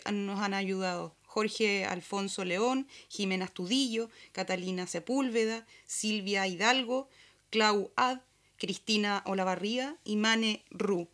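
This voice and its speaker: A woman with a Chilean accent and a medium-pitched voice co-hosts a radio programme. She moves between casual talk and read announcements. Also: steady tone, measured pace, a bit bright. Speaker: radio show co-host. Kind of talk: casual chat and scripted announcements.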